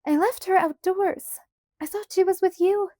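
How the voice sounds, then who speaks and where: A woman voicing a frantic mother in an audiobook, calling out with shrill urgency. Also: fairly even intonation, normal speed, mellow, no room sound, studio quality. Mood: distraught. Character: frantic mother calling for her lost child. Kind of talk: audiobook character voice.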